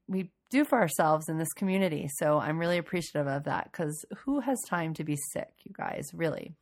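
A woman hosting a casual podcast intro, raspy from getting over a cold, chatty and enthusiastic. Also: low voice. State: recovering from a cold. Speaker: podcast host.

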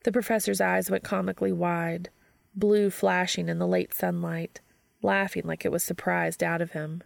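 A woman's voice: on the low side, little pitch movement, normal speed, creaky, dry recording. A woman reading scripted fiction aloud as a narrator.

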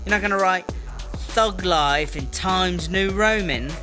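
A woman's voice: lowish voice, measured pace, over music, close to an everyday voice. A woman playing a cocky, defensive, foul-mouthed British inmate in a comedy audio drama, with a slangy, blustering, rambling delivery.

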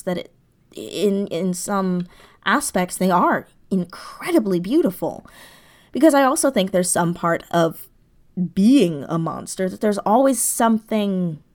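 Thoughtful, halting woman in casual conversation. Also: lowish voice, a little mellow. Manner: thoughtful, halting, circles back over phrases. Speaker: interview guest, audio drama creator. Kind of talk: casual, reflective interview chat.

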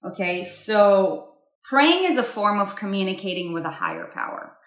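A woman's voice: mid-range voice, huge pitch swings, moderate pace, echoey room. A woman from Israel co-hosting a casual talk about spirituality, emphatic and repeating herself as she addresses listeners directly.

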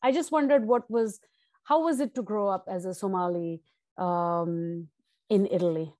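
A woman's voice: medium pitch, normal speed, dark tone, no room sound, studio quality. A woman hosting a podcast interview, asking her question hesitantly with many fillers. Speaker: podcast host.

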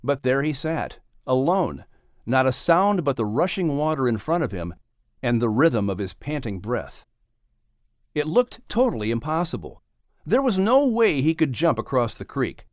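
A man reading a children's story as an audiobook narrator, with expressive, dramatic delivery. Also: medium pitch, moderate pace, dry recording, clean studio sound.

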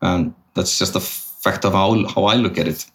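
A man's voice: deep voice, moderate pace. A man being interviewed as a podcast guest, thinking aloud and often restarting his sentences. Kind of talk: casual business interview.

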